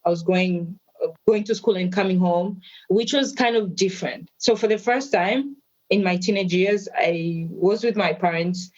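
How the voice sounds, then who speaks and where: Woman, 30s, measured and reflective interview guest, mid pitch, slightly muffled recording. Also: darkish tone. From Tanzania. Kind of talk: interview, personal story.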